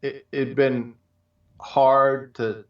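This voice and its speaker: A man co-hosting a talk show in casual chat, speaking in a halting, rambling way. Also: medium pitch, little pitch movement. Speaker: show co-host. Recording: roomy, distant, dull microphone.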